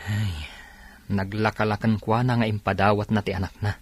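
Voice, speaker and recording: An actor playing a complaining, sceptical young husband in an Ilocano radio drama. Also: male voice, fairly low pitch, level tone, moderate pace, dry recording, natural voice.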